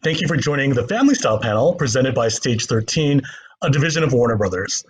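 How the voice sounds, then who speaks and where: A man's voice: medium pitch, expressive, fast talker, a little hiss or hum, low-quality recording. A man moderating a panel as a journalist, delivering prepared introductions and questions.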